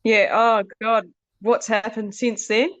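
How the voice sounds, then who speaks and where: A woman's voice: a little high, flat delivery, slow pace, dull audio. A woman guest on a podcast in casual chat, laid-back, laughing easily and swearing casually.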